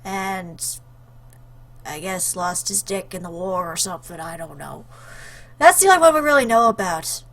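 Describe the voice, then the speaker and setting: A woman hosting a casual let's play, commenting in an expressive, playful, joking way. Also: fairly low pitch, normal speed, a little hiss or hum. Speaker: host, gaming YouTuber. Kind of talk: casual let's play commentary, reading game lines aloud.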